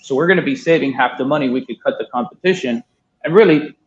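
A relaxed man in his thirties from Miami tells his story in a loose, conversational way, dropping the odd swear word and acting out what others said. His voice sits in a middle pitch. The recording is dull and lo-fi. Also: fast, slight background noise. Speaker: guest, cigar importer. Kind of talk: casual storytelling interview. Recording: dull lo-fi sound.

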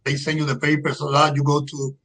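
A Cuban man in his forties speaks English with a Cuban Spanish accent in a casual interview. His voice sits high for a man and has a husky edge. He talks haltingly, doubling words as he hunts for phrases in his second language. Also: monotone, fairly bright tone. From Cuba. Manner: halting, repeats words while searching for English. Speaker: guest.

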